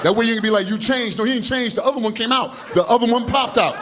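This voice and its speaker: A man in his fifties doing an animated stand-up routine on a comedy club stage into a handheld mic, gesturing broadly as he talks. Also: extremely high pitch, quick pace, noisy background. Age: 50s. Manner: loud, animated, slangy storytelling with heavy gestures. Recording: live club recording with audience laughter. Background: audience laughing.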